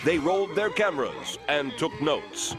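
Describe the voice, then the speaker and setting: Pompous cartoon film narrator, theatrical, highish, lively swings, music underneath. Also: male voice, normal speed. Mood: grandiose. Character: grandiose mock-educational film narrator in a cartoon. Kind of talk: scripted documentary parody narration.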